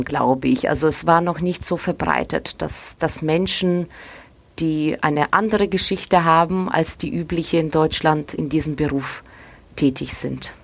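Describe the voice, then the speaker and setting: Middle-aged Ukrainian-accented woman, lowish voice, close dry sound, measured and reflective. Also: dry recording. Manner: thoughtful, measured, frequent hesitations. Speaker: guest, newspaper editor. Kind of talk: reflective interview talk.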